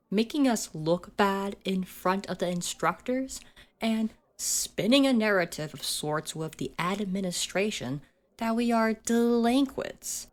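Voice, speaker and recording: A woman acting a mocking, domineering schoolgirl bully in an ASMR roleplay, condescending and teasing with menace. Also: lowish voice.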